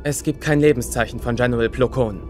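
Confident young commander acted by a man, medium pitch. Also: steady tone, a little fast, a little mellow, soft background music, lightly acted. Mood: concerned, formal;. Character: confident young knight commander, brisk and headstrong.

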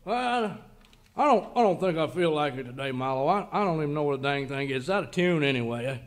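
An actor playing an excitable, folksy cowboy sidekick with drawling slang in a comic Western radio sketch. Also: male voice, fairly high pitch, a little fast, natural voice. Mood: reluctant.

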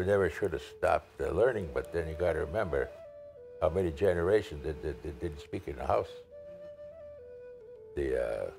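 An older man speaking plainly and haltingly in an interview. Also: low voice, vocal fry, darkish tone. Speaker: elder interviewee. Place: living room, indoors. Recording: flute music underneath.

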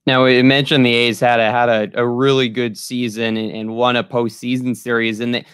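A man's voice: medium pitch, flat delivery, normal speed, a bit bright, clean tone, no echo, studio quality. A young man hosting a sports podcast, putting earnest, lengthy questions to a guest over a video call. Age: young adult. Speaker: podcast host, interviewer. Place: small room at home, indoors.

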